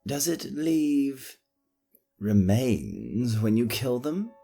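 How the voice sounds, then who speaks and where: A man's voice: medium pitch, very animated, very slow, creaky, dark tone, faint music behind, full character voice. A man voicing a greedy, haughty nobleman in an audio drama, speaking in a formal, mannered way. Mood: prying. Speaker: voice actor in a fan audio drama.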